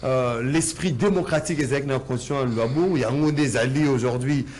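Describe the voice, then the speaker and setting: A man's voice: medium pitch, quick pace, darkish tone, slight background noise. A man with a Congolese accent hosting a political debate, putting meandering, hesitant questions to his guests. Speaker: host.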